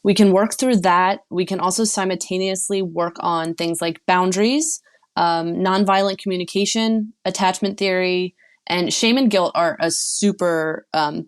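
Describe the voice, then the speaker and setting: Woman guest coach, lowish voice, earnest explainer, casual interview. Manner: earnest, explanatory, uses fillers. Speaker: sex and relationship coach guest.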